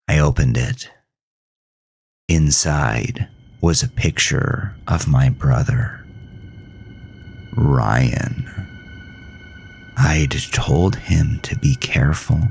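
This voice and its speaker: Man acting a very deep-voiced, haunted, grieving farmer. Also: no room sound, clean studio sound, exaggerated voice. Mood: grieving, bitter. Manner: brooding, deliberate, theatrical, dropping at times into breathy near-whisper. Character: grieving, haunted farmer telling his supernatural ordeal. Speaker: narrator and lead character of a horror audio drama. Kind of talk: dramatic first-person horror narration.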